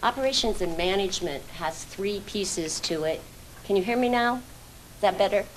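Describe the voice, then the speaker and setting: A woman's voice: medium pitch, animated, normal speed, a little hiss or hum, low-quality recording. A woman on a housing authority's staff presenting to a public board meeting in a plain, matter-of-fact way.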